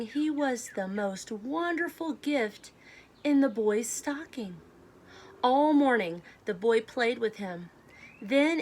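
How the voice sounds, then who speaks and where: Female children's storybook reader, higher-pitched voice, deliberate and simple delivery, some background noise. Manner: deliberate, simple phrasing addressed to young children. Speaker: children's read-aloud host. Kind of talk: storybook read-aloud to children.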